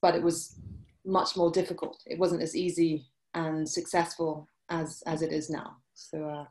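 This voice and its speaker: A woman in her forties with a British accent, answering interview questions over a video call, halting with many restarts. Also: low voice, flat delivery, darkish tone, poor audio. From UK and France. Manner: hesitant, many restarts and fillers. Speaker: guest, journalist. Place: indoor room, video call. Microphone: laptop webcam mic.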